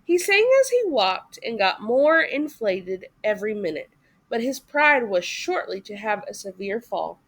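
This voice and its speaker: Slow, very animated woman storyteller. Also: medium pitch. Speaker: read-aloud storyteller for a classroom. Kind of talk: expressive children's story narration.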